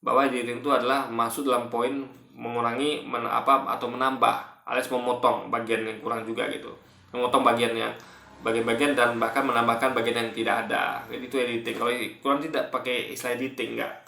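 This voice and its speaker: A man giving casual spoken commentary in a halting, repetitive way, often correcting himself. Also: medium pitch, level tone, vocal fry, bright, forceful tone. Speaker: video commentator. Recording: echoey room.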